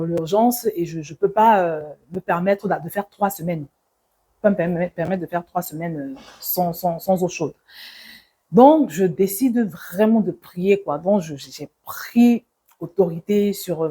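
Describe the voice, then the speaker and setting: Mellow, slightly husky woman sharing testimony. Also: medium pitch. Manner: conversational storytelling, repeats phrases for emphasis. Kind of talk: personal testimony with exhortation.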